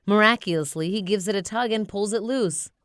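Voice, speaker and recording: A woman narrating a children's storybook in an expressive read-aloud style. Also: mid-range voice, brisk, clean tone, dry recording, studio quality.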